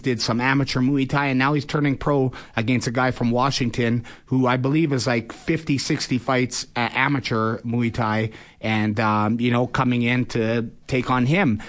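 Male, early forties, mid-pitched, colloquial fighter-promoter chatting as a radio guest. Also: fairly bright tone. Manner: colloquial, frequent "you know". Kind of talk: casual radio interview.

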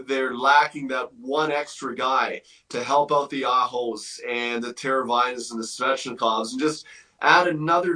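A man's voice: mid-range voice, sharp tone, far from the mic, low-quality recording. A man giving emphatic sports commentary as a guest analyst, thinking aloud and repeating phrases as he builds his point.

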